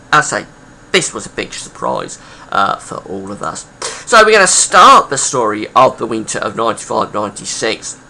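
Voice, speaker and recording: A man with a croaky, gravelly voice, hosting a casual weather history video, chatty and full of pauses and fillers. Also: medium pitch, pushed and bright, a little hiss or hum, low-quality recording. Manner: chatty, rambling with many uh fillers.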